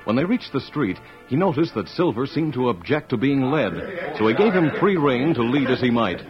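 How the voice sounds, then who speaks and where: Muffled old radio narrator guy, measured and dramatic, music behind, medium pitch. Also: expressive.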